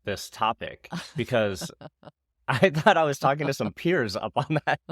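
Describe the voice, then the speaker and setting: A man in his forties telling a story in casual podcast chat, animated and laughing often. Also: mid-range voice, measured pace, dry recording, studio quality. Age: 40s. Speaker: podcast co-host.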